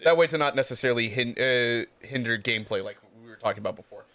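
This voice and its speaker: Quick-talking male co-host. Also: medium pitch, bright, forceful tone. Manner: quick, jokey interjections. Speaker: stream co-host. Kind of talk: casual livestream banter.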